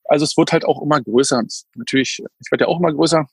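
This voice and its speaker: Casual podcast guest in his thirties, husky and dry, chatty and full of fillers. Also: on the high side, very fast, no room sound. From Berlin, Germany. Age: 30s. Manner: rambling, many fillers and restarts. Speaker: podcast guest, camper van renter. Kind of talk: casual interview chat.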